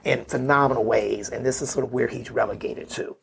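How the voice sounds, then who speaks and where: A man's voice: mid-range voice, steady tone, fairly quick, creaky, crowd noise. A middle-aged man, a podcast guest, talking through a family story in a loose, rambling way, tagging his points with "right?" and "you know.". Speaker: podcast guest, memoir author. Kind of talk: conversational interview storytelling.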